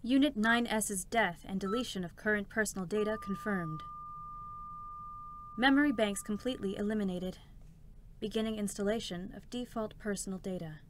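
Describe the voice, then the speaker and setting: A woman's voice: mid-range voice, moderate pace, natural voice. Woman as a clinical robot support unit, faint noise. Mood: detached. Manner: clinical, formal, procedural. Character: impassive female robotic support unit reporting procedures.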